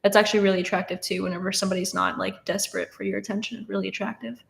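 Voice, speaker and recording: A woman's voice: mid-range voice, flat delivery, racing, vocal fry. A nineteen-year-old woman casually answering chat questions on a live stream, with lots of fillers and restarts. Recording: roomy echo.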